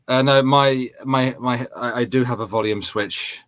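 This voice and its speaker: A man's voice: mid-range voice, creaky, fairly bright tone. A man, co-hosting a gaming stream in casual, sweary, joking banter. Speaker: co-host of a gaming radio stream.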